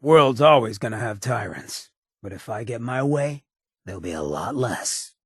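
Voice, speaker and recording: An actor playing a roguish, wry ghoul in a video game, with a raspy, gravelly voice and streetwise drawl. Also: male voice, mid-range voice, expressive, normal speed, dry recording, studio quality. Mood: sly, intimate. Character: roguish, wry male ghoul mayor, chem-loving companion. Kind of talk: video game companion dialogue.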